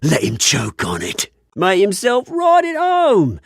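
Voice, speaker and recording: Gravelly British crime lord, wildly swinging pitch. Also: male voice, huge pitch swings, takes it slowly, no room sound, clean studio sound, full character voice. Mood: bitter. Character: bitter, menacing British crime-lord revolutionary, faded rich heir. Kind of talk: dramatic video game acting.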